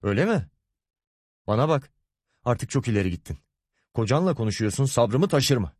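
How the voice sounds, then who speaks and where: Exasperated husband in a storybook, male narrator acting: proud, stern, short fuse, lively raised intonation. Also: mid-range voice, fairly quick, no room sound, clean studio sound, pitched above the performer's own voice, close to an everyday voice. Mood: furious, proud. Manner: stern, warning, gritted-teeth indignation. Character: hot-tempered, proud, exasperated husband and father.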